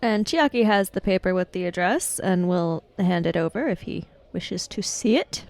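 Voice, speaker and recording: A woman's voice: lowish voice, expressive, moderate pace, darkish tone. A woman playing a tabletop game, casually narrating what her character does.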